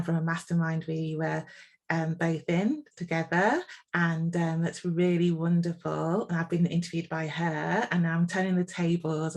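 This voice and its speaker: A chatty woman from England hosts a podcast interview, her voice low and gravelly with a touch of fry. She talks casually, full of ums and restarts. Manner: chatty, warm-hearted, many ums and restarts. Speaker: podcast host.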